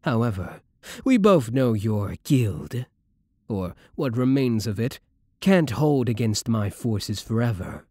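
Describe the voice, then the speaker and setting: A man's voice: medium pitch, big pitch swings, takes it slowly, mellow, dry recording, clean studio sound. A man playing a suave, sadistic vampire lord in an intimate ASMR roleplay, courtly, teasing and menacingly polite. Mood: condescending, probing.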